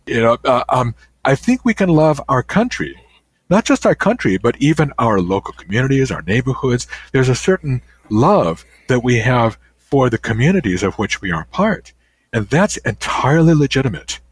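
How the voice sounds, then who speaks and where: An older man, a guest author, answering interview questions in a thoughtful, halting way with many restarts. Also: medium pitch, big pitch swings, breathy edge, dry recording.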